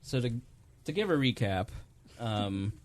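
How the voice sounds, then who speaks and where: A man's voice: medium pitch, expressive, a little slow. A man hosting a podcast in a home studio, talking casually on a studio mic and rambling through technical details with a self-deprecating tone. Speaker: podcast host. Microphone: studio dynamic microphone.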